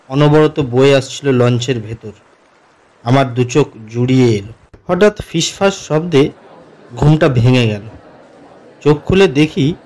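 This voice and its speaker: A man playing an uneasy office commuter who narrates his own horror story in an expressive, atmospheric way for a cartoon. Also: mid-range voice, poor audio. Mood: uneasy, hushed. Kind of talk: dramatic first-person horror story narration.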